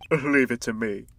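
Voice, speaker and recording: A man voicing a flamboyant, smug CEO villain testifying in a courtroom game fandub, with theatrical, drawn-out delivery. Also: mid-range voice, vocal fry, a bit bright, far from the mic, slight background noise, heavily acted. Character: flamboyant, smug male corporate CEO villain on the witness stand.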